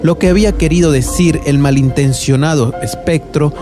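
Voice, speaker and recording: A man's voice: fairly high pitch, moderate pace. A man narrating a scripted radio novela in a theatrical, literary storytelling manner. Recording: music under the voice. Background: background music.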